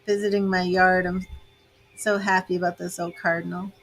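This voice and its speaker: An American woman in her fifties hosting a live tarot reading, chatty and casual, laughing often and filling pauses with "um". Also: fairly low pitch, little pitch movement, soft background music, rough recording. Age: 50s. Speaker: tarot reader hosting a livestream. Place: home with grandchildren and birds in earshot.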